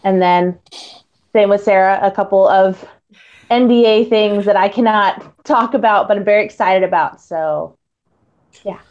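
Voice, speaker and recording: A woman's voice: medium pitch, unhurried, darkish tone. A woman voice actress talking casually about her work in a podcast panel chat. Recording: slightly dull lo-fi call audio.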